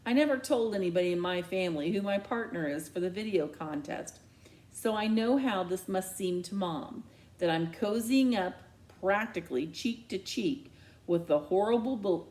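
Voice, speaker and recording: A woman narrating a children's novel aloud in a dramatic, expressive storytelling style. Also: lowish voice, moderate pace.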